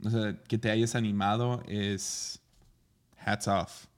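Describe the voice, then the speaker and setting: A man who preaches, talking casually in conversation and often giving soft affirmations. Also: fairly low pitch, flat delivery, slow pace. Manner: attentive listener, frequent soft affirmations.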